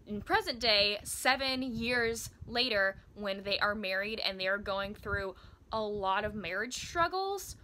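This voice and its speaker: A woman's voice: mid-range voice, bright, forceful tone, slight background noise, low-quality recording. A woman in her twenties chatting casually to camera as a book vlogger, speaking in an enthusiastic, emphatic way. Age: 20s. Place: small indoor room with bookshelves.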